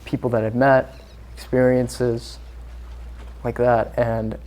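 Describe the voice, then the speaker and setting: A man's voice: mid-range voice, level tone, slow pace, darkish tone. Earnest rambling young man, interview guest. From Singapore. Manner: earnest, rambling, with many fillers. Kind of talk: casual interview chat.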